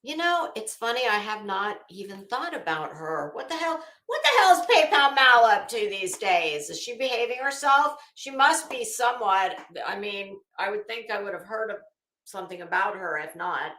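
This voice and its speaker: A woman's voice: medium pitch, sing-song, moderate pace, pushed and bright. An American woman hosting a livestream, chatting casually with viewers in a blunt, emphatic, chatty way. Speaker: livestream host. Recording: echoey, roomy sound.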